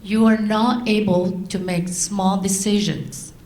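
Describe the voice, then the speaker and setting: A middle-aged woman from Laos, raised in France, giving a prepared speech to an audience in slow, deliberate phrases. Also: mid-range voice, steady tone, darkish tone, roomy sound, slight background noise. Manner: slow, deliberate delivery with long pauses. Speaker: guest speaker.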